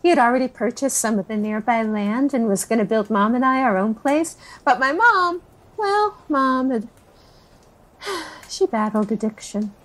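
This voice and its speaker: A woman's voice: a little high, lively intonation, measured pace. An actress playing a chatty, nervous woman in her forties in an audio drama, rambling through family memories with sighs and self-corrections. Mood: reflective. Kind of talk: interviewee in a found-footage audio drama.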